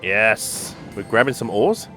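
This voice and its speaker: A man's voice: fairly low pitch, sing-song, takes it slowly. A man giving offhand running remarks in a casual let's play commentary. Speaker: gamer commenting while playing. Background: game music.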